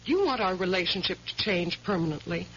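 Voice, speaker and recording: A bright, lively American wife, pointed and teasing in an old radio play. Her lines swoop in pitch, on a dull recording with some hiss. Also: quick pace. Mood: insistent. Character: brisk, playful, insistent wife of a lawyer. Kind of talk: 1970s radio drama acting.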